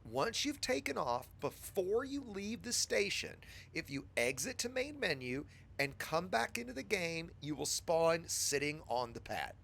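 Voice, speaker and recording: A man's voice: fairly high pitch, big pitch swings, a little slow, gravelly, fairly bright tone, breathy edge. A man with a scratchy voice from a cold, patiently talking a new player through game setup step by step in a casual tutorial. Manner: patient, chatty instructor addressing viewers.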